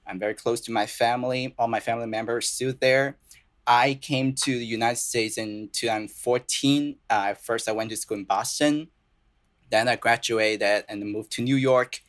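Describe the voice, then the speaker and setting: A young man from southern China whose first languages are Cantonese and Mandarin, speaking English with a Chinese accent as a playwright guest in a casual podcast interview, earnest and halting with many restarts. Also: mid-range voice, steady tone, fairly bright tone.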